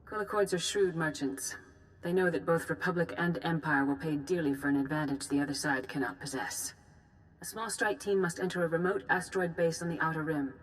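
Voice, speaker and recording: Woman playing a low-voiced, solemn Jedi master. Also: fairly even intonation, a little hiss or hum, close to an everyday voice, poor audio. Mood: grave, urgent. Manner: formal, measured, solemn. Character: grave, composed female Jedi master giving a war briefing. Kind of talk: scripted video game dialogue.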